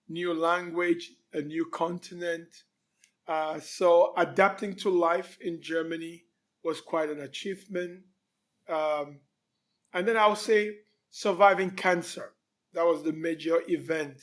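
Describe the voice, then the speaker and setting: A Cameroonian man in his fifties with a fairly high voice tells his life story as an interview guest. He speaks in a relaxed way, with frequent pauses and fillers. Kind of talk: conversational interview.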